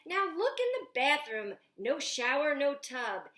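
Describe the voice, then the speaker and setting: A woman's voice: high voice, very animated, slow pace, fairly bright tone, exaggerated voice. A woman reading a storybook's lines as an imaginative young boy who proudly shows off his dream house, in bouncy rhyming verse with stretched words. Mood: proud, excited. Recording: echoey room.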